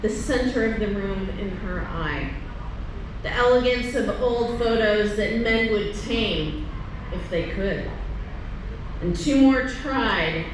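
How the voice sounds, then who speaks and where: A woman reading a poem aloud in a deliberate way with dramatic pauses, recorded in a reverberant room at a live reading. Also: medium pitch. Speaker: poetry reader. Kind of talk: poetry reading. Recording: echoey room, distant mic, loud background noise.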